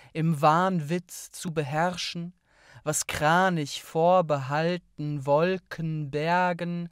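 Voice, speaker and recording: A poet delivers spoken-word verse slowly and deliberately, setting each phrase apart. The voice is clean and smooth, captured very dry and close, with measured pauses. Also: mid-range voice, dry recording. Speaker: poet reading own poems. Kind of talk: literary poetry reading, spoken word.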